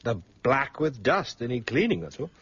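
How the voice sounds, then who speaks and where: An actor playing a confident, suave defence barrister in a radio drama, with a slightly husky voice and a courteous, assured manner. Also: male voice, mid-range voice, big pitch swings, moderate pace, a bit bright, lo-fi sound, full character voice. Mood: skeptical, amused.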